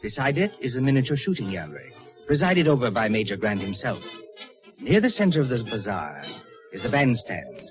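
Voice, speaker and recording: A man playing a suave, ominous mystery narrator in measured, formal 1940s radio suspense style. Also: medium pitch, very animated, lightly acted. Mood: descriptive, measured. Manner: measured, formal, dramatic delivery. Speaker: narrator and program host. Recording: muffled vintage radio recording, music behind.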